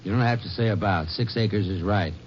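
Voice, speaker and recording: An actor as a blunt, deep-voiced country feed buyer. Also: male voice, brisk, lightly acted. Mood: sure, blunt. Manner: clipped, plain-spoken, exacting. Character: blunt, practical rural feed-company buyer. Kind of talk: 1950s radio drama acting. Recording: muffled, noisy old radio recording.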